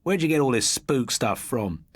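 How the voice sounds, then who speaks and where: A man's voice: mid-range voice, expressive, moderate pace, no echo, clean studio sound, pitched above the performer's own voice, close to an everyday voice. A man voicing a tall, cheerful, sceptical schoolboy with a brash, mocking way of talking, in an audiobook. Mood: scornful.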